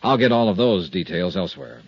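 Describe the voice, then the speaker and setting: A shrewd American insurance investigator from a 1950s radio drama. His voice is low and a little rough, with lively swings as he probes in a clipped, coolly polite way. The sound is an old, muffled broadcast. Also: fairly quick, no echo, heavily acted. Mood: measured, probing. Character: tough, shrewd freelance male insurance investigator. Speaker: radio drama lead actor. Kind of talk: 1950s radio detective acting, narration and dialogue.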